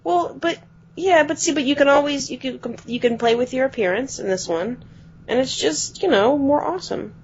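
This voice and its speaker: A woman co-hosting a podcast, chatting quickly about video games and often letting her phrases trail off. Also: sits high, a little mellow, slight background noise. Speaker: podcast co-host. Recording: dull lo-fi podcast audio.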